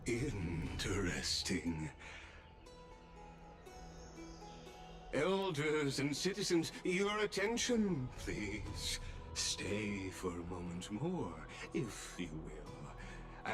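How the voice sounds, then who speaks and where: A man playing a courteous, solemn statesman in a video game, speaking in a formal, ceremonious manner. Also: on the low side. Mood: intrigued, formal. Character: solemn, courteous male statesman presiding over an assembly. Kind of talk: dramatic video game voice acting.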